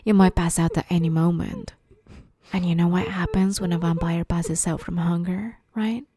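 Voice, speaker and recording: A woman voicing a playful, sadistic vampire in an ASMR roleplay, teasing her captive with mock sweetness and quiet menace. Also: lowish voice, steady tone, moderate pace, background noise, natural voice. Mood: playful threat. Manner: teasing, mockingly sweet, menacing, often hushed. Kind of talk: ASMR roleplay, dramatic monologue.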